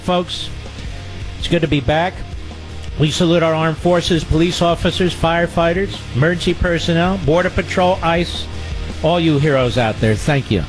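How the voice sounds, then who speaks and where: A man's voice: on the high side, moderate pace, soft background music, poor audio. A male radio talk show host delivering a combative, sarcastic political monologue and taking calls.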